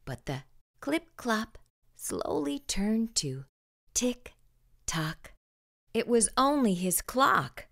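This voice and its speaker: A woman reading a children's storybook aloud expressively, with deliberate, dramatic pauses. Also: a little high, big pitch swings, a bit bright. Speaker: storytime host and narrator.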